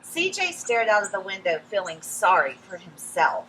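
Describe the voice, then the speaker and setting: A woman reading a picture book aloud outdoors to young children, expressive and addressing them directly as friends. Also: medium pitch, normal speed, poor audio. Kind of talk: animated read-aloud storytelling for young children. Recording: some background noise, outdoor ambience.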